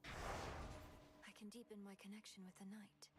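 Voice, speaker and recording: A woman's voice: mid-range voice, level tone, unhurried, in a whisper, natural voice. Actress as earnest young witch over game music. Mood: solemn, hushed. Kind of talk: video game voice acting. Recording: faint game audio under music.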